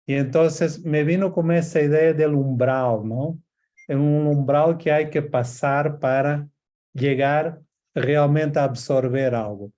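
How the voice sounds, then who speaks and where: A native Portuguese-speaking man with a Portuguese accent and Rioplatense traces, giving a reflective personal talk in a halting, thoughtful way. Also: on the high side, mellow. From Portuguese-speaking Africa. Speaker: guest speaker, coach. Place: at home, by bookshelves, on a video call.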